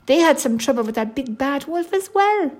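A woman voicing a friendly, eager little boy bear cub with a squeaky, childlike voice in a children's storybook. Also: lively intonation, moderate pace, a little mellow.

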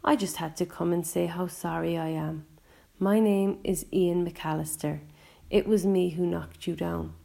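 A woman's voice: lowish voice, fairly even intonation, a little slow, creaky, warm tone. A woman voicing a remorseful, kind Scottish father, earnest and apologetic, with a wobbly voice, in an audiobook story. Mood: remorseful, upset. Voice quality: wobbly, emotional voice. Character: remorseful Scottish father who caused an accident, upset and kind.

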